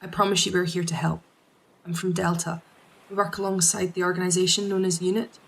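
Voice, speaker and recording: A woman's voice: on the low side, level tone, a little fast, creaky, a bit bright. A woman acting a composed, polite task force officer in an audio drama, speaking formally and earnestly.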